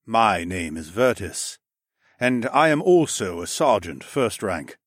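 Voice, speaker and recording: Guy doing a tired lizard sergeant, slow and worn out. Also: fairly low pitch, sing-song, dry recording, clean studio sound, heavily acted. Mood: weary. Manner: weary, slow. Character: weary male lizard-man hunter sergeant.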